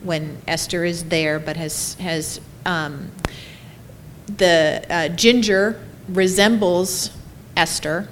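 Low-voiced woman explaining memory care. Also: steady tone, takes it slowly, slight background noise. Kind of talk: panel discussion.

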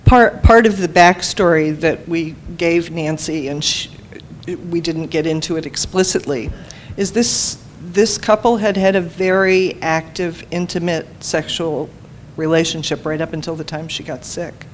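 A woman calmly explaining background in a group discussion. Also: sits low, a little slow, slight background noise.

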